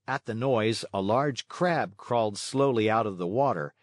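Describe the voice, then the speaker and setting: A man narrating a scripted children's story in an expressive, dramatic manner. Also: on the low side, unhurried, dry recording, clean studio sound.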